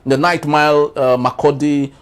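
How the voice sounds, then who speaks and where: A man with a Nigerian accent hosting and moderating a political discussion in a measured, somewhat hesitant way. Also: fairly high pitch, steady tone, clear, resonant tone. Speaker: talk show host.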